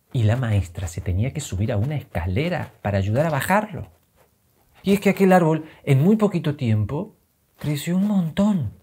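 A man in his thirties with an Argentine accent, telling a children's story expressively, with dramatic pauses and simple, deliberate phrasing. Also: medium pitch, warm tone, husky. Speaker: children's storyteller and channel host.

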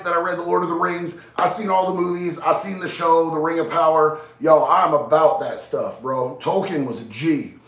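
A man's voice: sits high, roomy sound, distant mic. A man in his thirties with a stuffy, nasal head cold, hosting a casual livestream and reading out viewers' chat in a laid-back, slangy way. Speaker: livestream host. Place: small indoor studio with neon lights.

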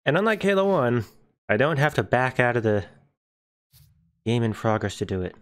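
A man's voice: medium pitch, sing-song, moderate pace, slight background noise. A man giving casual, joking, sweary gameplay commentary. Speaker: gamer doing a walkthrough. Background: video game music and combat sounds.

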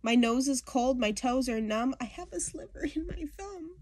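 A woman's voice: high-pitched, expressive, moderate pace, darkish tone, no room sound, voice pushed up. A woman voicing a whiny little girl who complains melodramatically about her ailments in a storybook poem. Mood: whiny, suffering.

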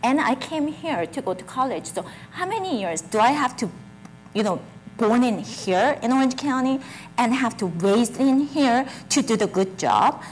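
A woman's voice: a little high, animated, moderate pace, a little hiss or hum. A middle-aged woman born in Korea and raised in Japan, a political candidate speaking earnestly and defensively in a panel debate, in slightly English, on a lapel mic in a community hall. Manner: earnest, defensive, non-native phrasing. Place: community center hall with audience.

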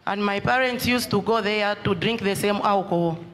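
A woman interpreting a testimony in short, measured phrases. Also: medium pitch. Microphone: handheld microphone. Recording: handheld mic, faint hall noise.

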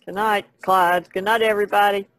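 Low-voiced woman in casual chat. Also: steady tone, brisk, a bit bright, dry recording. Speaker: podcast co-host and artist.

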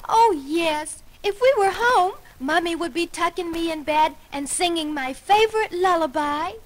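A woman playing a sweet, caring young girl with a gentle manner in a children's story recording. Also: extremely high pitch, animated, measured pace, noisy background. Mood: wistful.

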